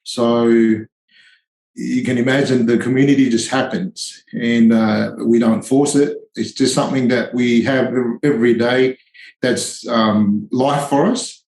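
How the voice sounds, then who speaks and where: A man's voice: mid-range voice, steady tone, a little slow, a little mellow. Hesitant Tonga-raised man, echoey room. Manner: hesitant, many ums and restarts. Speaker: charity founder, tradie guest. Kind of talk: podcast interview, reflective.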